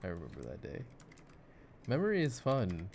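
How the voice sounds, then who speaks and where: Male, very low voice, musing ASMR gamer chat, light clicking and typing behind. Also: wildly swooping pitch, moderate pace, vocal fry. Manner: reflective, musing. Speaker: ASMR voice actor playing games. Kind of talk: intimate ASMR gameplay chat. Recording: clicking mouse and keyboard noise. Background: mouse clicks and keyboard typing.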